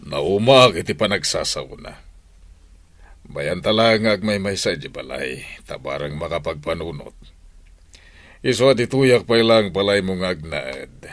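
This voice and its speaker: Middle-aged father, low voice, varied intonation, calm, supportive, audio drama. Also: unhurried, no echo.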